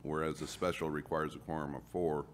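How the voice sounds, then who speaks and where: A man on a city council proposing an amendment and explaining his reasoning deliberately. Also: rumbling low, little pitch movement, a little hiss or hum. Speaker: council member. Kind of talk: public meeting discussion.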